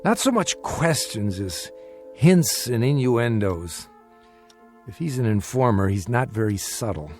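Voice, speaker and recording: An actor in a radio drama playing a calm, careful married father who is secretly plotting, with a guarded manner. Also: medium pitch, measured pace, faint music behind, lightly acted. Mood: guarded, secretive.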